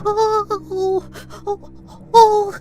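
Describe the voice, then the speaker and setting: A woman's voice: very high-pitched, level tone, extremely slow, mellow, full character voice. A woman voicing a small, timid male mouse in a children's cartoon, with trembling, polite, pleading delivery. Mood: terrified, pleading. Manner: trembling, whimpering, stammering pleas. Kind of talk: children's cartoon fable acting.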